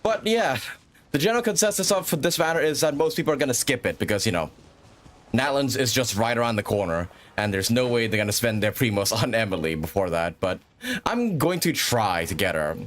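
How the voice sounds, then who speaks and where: A man's voice: mid-range voice, sing-song, brisk, a bit bright. A man hosting a livestream with casual, rambling gaming commentary and frequent chatty asides. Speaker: livestream host.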